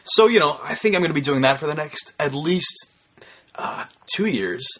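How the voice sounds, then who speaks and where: A man's voice: a little high, animated, moderate pace, pushed and bright, a little hiss or hum. A young man chatting casually as a podcast co-host, rambling in a mock-earnest, foul-mouthed way. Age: young adult. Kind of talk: casual comedic chat.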